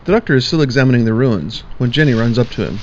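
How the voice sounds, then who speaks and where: A man giving plain, steady scripted narration for an audio drama. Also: medium pitch, quick pace, muffled recording, slight background noise. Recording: music bed behind.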